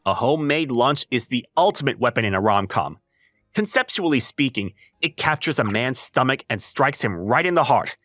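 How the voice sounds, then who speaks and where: An exasperated high school boy acted by a man with a highly animated, swinging delivery. He is a flustered, introverted young writer from an anime comic dub who lectures dramatically, with music playing underneath. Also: moderate pace. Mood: confident lecturing. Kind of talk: anime-style comic dub acting with narration.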